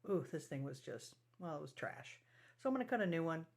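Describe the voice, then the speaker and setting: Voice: mid-range voice, sing-song, brisk. Casting: DIY restoration host with a mid-range voice, informal and folksy, talking through hands-on work step by step. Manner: folksy, colloquial phrasing. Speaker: host of a restoration project video. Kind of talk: casual how-to narration of a restoration project.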